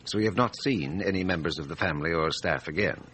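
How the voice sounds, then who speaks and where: A man's voice: sits low, quick pace, fairly bright tone, a little hiss or hum, low-quality recording. An actor playing a formal, probing male examining magistrate in a radio drama, with a superior, questioning manner.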